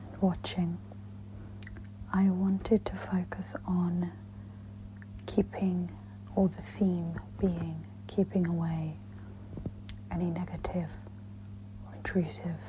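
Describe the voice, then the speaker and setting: A woman speaks in a whispery, breathy voice, gently guiding a meditation in a soft-spoken ASMR style. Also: on the low side, flat delivery. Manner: slow, gentle, instructional. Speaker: host of guided meditation ASMR. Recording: dull lo-fi with audible background noise.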